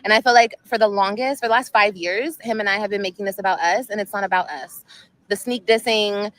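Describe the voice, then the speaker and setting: A woman's voice: mid-range voice, fairly even intonation, fairly quick, a bit bright, poor audio. A woman in her thirties chatting casually on a livestream outdoors at sunset, emotional and rambling, repeating herself often. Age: 30s. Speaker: vlogger.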